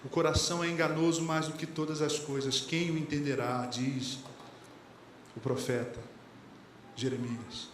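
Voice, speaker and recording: Brazilian pastor preaching in an echoey church. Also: male voice, a little high, steady tone, moderate pace, long pauses, fairly bright tone, a little hiss or hum. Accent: Brazilian Portuguese. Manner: conversational preaching, quotes lyrics and sayings, asks the congregation questions. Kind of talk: sermon. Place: church hall. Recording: echoey church hall, faint ambience.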